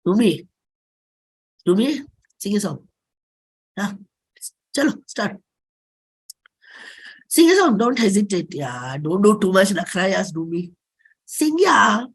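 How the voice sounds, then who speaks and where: A woman's voice: mid-range voice, very animated, slow pace, long pauses, breathy edge, heavily acted. A woman with an Indian accent playing a pushy, casual acquaintance who coaxes and insists. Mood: pushy coaxing. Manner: insistent, coaxing.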